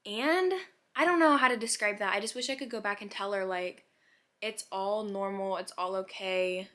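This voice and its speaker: Bright-voiced eighteen-year-old woman, rambling and musing. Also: medium pitch, moderate pace. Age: about 18. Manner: musing, self-questioning, heavy use of "like". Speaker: vlogger. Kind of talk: rambling, casual reflective chat to viewers.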